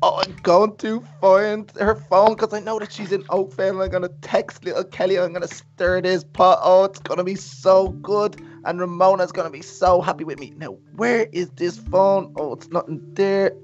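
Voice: mid-range voice, fairly even intonation, normal speed, few pauses, soft background music, lightly acted. A performer voicing a sly, scheming young woman troublemaker with an Irish accent, talking in a coaxing, sing-song way. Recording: dull muffled recording.

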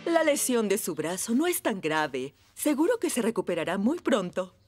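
An actress playing a careful, caring nurse in a Latin American Spanish cartoon dub. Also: female voice, medium pitch, very animated, normal speed, darkish tone, lightly acted. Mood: concerned. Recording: music behind the voice.

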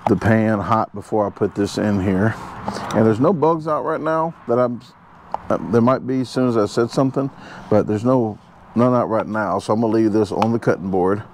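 Low-voiced man cooking outdoors. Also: darkish tone, slight background noise. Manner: joking asides, rambling fillers. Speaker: outdoors vlogger. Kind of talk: casual camp cooking chat. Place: outdoors at a campsite.

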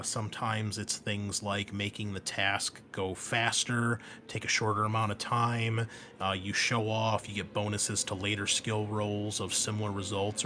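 Guy with a lowish voice casually explaining rpg rules to you, bit of background noise. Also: fairly bright tone. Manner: informal, explanatory, addresses listener directly. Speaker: host and game master explaining rules.

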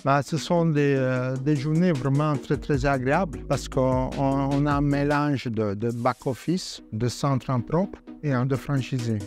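A man's voice: medium pitch, fairly even intonation, a little slow, few pauses, over music. A man speaking hesitantly, often repeating words, in an interview. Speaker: company staff member.

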